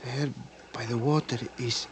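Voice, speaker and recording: An actor playing a cautious, deferential Mexican policeman with a Mexican Spanish accent and halting broken English, in old radio drama style. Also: medium pitch, fairly even intonation, lightly acted. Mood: alert, careful. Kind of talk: 1950s radio drama acting. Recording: noisy old radio recording with clicks.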